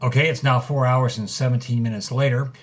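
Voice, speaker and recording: A man's voice: medium pitch, fairly even intonation, fairly quick, lightly acted. Man playing a podcast host on location. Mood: brisk, informative. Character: earnest male documentary podcast host. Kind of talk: on-location podcast narration in audio drama.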